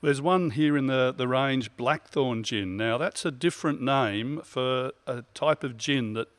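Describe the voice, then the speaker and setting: A man working as an interviewer asks calm, measured questions that draw his guests out. His voice sounds close and dry, with little room around it. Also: mid-range voice, dry recording.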